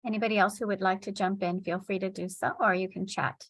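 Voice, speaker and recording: A woman's voice: medium pitch, fairly even intonation, fast talker, no room sound. A woman moderating a seminar question round on a video call, inviting and encouraging participants to speak.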